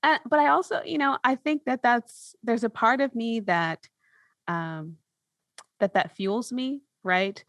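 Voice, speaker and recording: A woman's voice: on the high side, measured pace, a bit bright, dry recording. A woman hosting a podcast in a casual, conversational style, thinking aloud informally as she talks. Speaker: podcast host.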